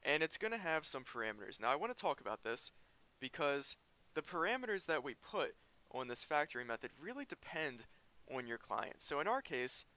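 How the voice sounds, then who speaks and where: Male coding tutor, clear bright voice, walks through code as he types. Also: mid-range voice. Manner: explains step by step while typing. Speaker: programming tutor. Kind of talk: screen-recorded coding tutorial.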